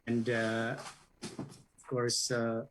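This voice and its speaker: A man with a Filipino accent and a medium-pitched voice begins an address. He speaks very slowly and hesitantly, with an informal touch to his formal message. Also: completely flat delivery, a bit bright, a little hiss or hum. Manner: hesitant, halting. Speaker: university president.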